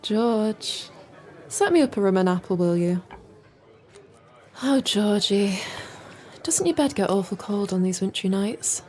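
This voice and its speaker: A mid-pitched female voice plays the tipsy flirt of the pub , acted by a woman. She coaxes and wheedles suggestively in audio-play style, with a petulant streak. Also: expressive, measured pace, pauses often, darkish tone, close to an everyday voice. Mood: flirtatious. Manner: coaxing, suggestive. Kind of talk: audio drama acting.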